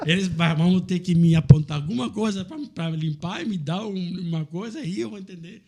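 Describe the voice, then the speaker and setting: An Italian man of middle age, a restaurant owner telling his story in a casual chat, animated and laughing as he talks. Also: high-pitched, a little fast. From Italy.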